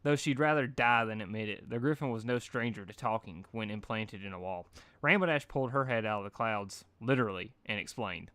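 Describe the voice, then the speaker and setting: A man's voice: lowish voice, a little fast, bright, forceful tone, no echo. A man reading fan fiction aloud in an informal, amateur storytelling style, occasionally stumbling over words. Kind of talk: animated amateur story narration.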